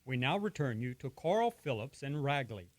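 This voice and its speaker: An actor playing a formal, measured radio news announcer reading bulletins in an old-time radio drama. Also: male voice, medium pitch, big pitch swings, no room sound, close to an everyday voice. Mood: formal urgency.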